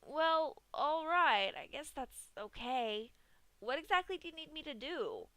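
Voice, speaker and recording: A woman's voice: high-pitched, animated, measured pace, pushed and bright, dry recording, pitched above the performer's own voice, full character voice. A woman voicing a brash, sporty young female pegasus pony, talking in a casual, slangy way. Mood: hesitant.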